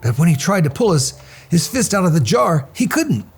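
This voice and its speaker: A man telling a children's story aloud in an animated read-aloud style. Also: fairly high pitch, normal speed, gravelly, faint music behind.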